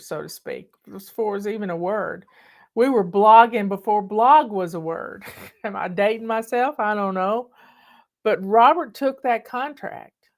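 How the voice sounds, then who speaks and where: Folksy older Southern woman telling stories. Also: medium pitch. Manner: folksy, chatty, bossy. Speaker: online host. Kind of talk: casual storytelling chat. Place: home in the mountains.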